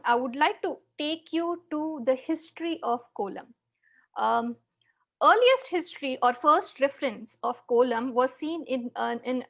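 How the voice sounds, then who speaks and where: A woman with a South Indian accent presenting an interactive online lesson, halting and often repeating words as she explains. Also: high voice, fairly bright tone. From Chennai, South India. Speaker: teacher, online conference presenter. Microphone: video call.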